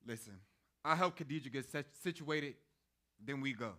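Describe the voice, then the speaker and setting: A man's voice: medium pitch, moderate pace, pushed and bright, lightly acted. A man playing an earnest, persuasive young man in a staged play reading, colloquial and pleading. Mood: earnest, urgent. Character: earnest, persuasive young man urging his sweetheart onward.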